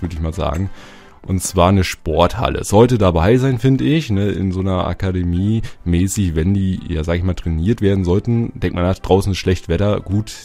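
A man with a cold and a slightly hoarse voice, chatting casually as he gives a tour of his build and keeps adding little filler tags. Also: sits low, a little mellow. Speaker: Minecraft YouTuber. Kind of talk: casual gameplay tour narration.

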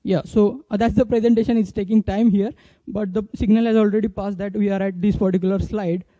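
A man in his thirties with an Indian accent giving an informal demo talk as a conference speaker, haltingly, into a handheld microphone. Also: high-pitched, steady tone, warm tone, dry recording. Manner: halting, hesitant delivery. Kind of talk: informal flash talk with live app demo. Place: indoor conference stage. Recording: dull, muffled stage recording.

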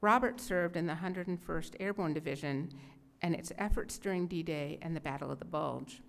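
Middle-aged woman narrator, lowish voice. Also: normal speed, vocal fry. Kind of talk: scripted narration. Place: indoor museum gallery at a podium. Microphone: podium microphone.

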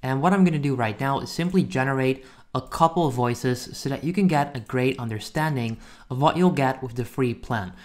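A man hosts a relaxed software walkthrough for online viewers. His voice sits at an average pitch, and he talks informally, as if chatting to the people watching. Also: brisk. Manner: informal, addresses viewers as guys. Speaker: software reviewer and tutorial host. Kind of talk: casual screen-recording walkthrough.

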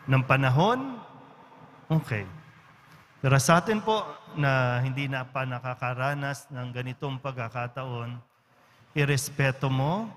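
A man with a Filipino accent, a priest giving a homily in a relaxed, conversational way, often checking in with his listeners. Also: mid-range voice. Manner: conversational, with frequent tag questions. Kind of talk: Sunday-style homily. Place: large church. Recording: church reverb with faint background noise.

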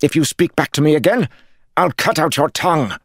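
A man performs a half-mad noble lord commanding a war camp. He snaps and sputters imperiously, the pitch pushed well up and the words forceful and loud. It is fantasy audiobook drama. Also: expressive, brisk, no room sound, clean studio sound. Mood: enraged, threatening.

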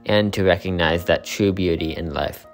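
A man giving scripted narration for a literary video essay in a steady, explanatory manner. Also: deep voice, moderate pace, faint music behind.

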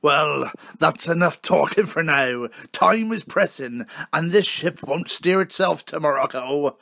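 A man's voice: on the high side, animated, measured pace, gravelly, pushed and bright, dry recording, clean studio sound, exaggerated voice. A man playing a hearty, piratical ship's captain in a comic radio pantomime. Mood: hearty, brisk.

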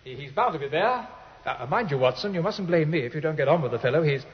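A man's voice: a little high, animated, quick pace, husky. A British actor playing a cheerful, chatty young Victorian medical man in a 1950s radio drama. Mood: confiding. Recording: muffled 1950s radio recording.

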